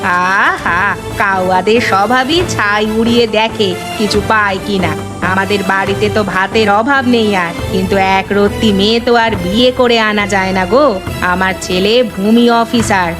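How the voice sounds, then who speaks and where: A woman's voice: mid-range voice, steady tone, normal speed, close to an everyday voice. A woman playing a protective, proud old village mother in a cartoon, homely and folksy in manner. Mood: wary, proud. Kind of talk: Bengali cartoon voice acting. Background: music.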